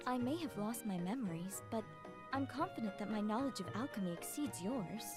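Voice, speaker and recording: A woman acting a composed, high-voiced magic book mentor. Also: lightly acted. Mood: apologetic, confident. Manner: formal, measured, calm. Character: composed, polite female talking magic book, knowledgeable mentor. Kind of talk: anime-style game dub acting. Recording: music behind the voice. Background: game music.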